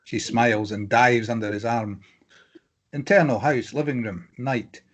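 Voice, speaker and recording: Mid-pitched man reading stage directions. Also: steady tone, unhurried. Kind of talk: scripted narration.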